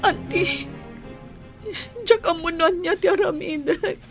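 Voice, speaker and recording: A young Ilocano wife, hurt and reproachful, in an audio drama. Her high voice pleads emotionally, with words drawn out very slowly. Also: vocal fry, over music, exaggerated voice. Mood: reproachful, pleading. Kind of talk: Filipino audio drama acting.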